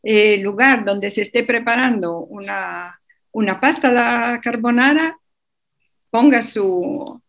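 Slow-spoken woman explaining on radio. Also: fairly high pitch, a bit bright. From Europe. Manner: hesitant, many fillers and restarts. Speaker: interview guest. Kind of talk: radio interview, casual explaining.

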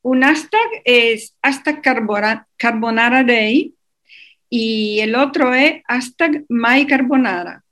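A woman's voice: a little high, takes it slowly, a bit bright. A woman guest on a radio interview, explaining at length with many hesitations and restarts. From Europe.

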